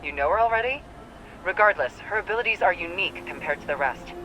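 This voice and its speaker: An actor playing a brisk, authoritative male mission commander in a video game, giving orders over comms. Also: high-pitched, expressive, sharp tone, slight background noise, low-quality recording. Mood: urgent, commanding.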